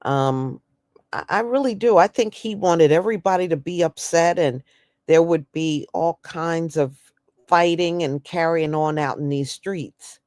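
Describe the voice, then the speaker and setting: American woman, unhurried, folksy and blunt, casual livestream commentary, dry close sound. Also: deep voice, a little mellow, no room sound. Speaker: host of an online news commentary stream.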